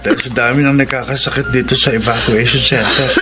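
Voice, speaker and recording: A man's voice: medium pitch, steady tone, quick pace, heavy background noise, close to an everyday voice. Man acting an anxious, dutiful young son. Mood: worried, apologetic. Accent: Filipino. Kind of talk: Filipino radio drama acting.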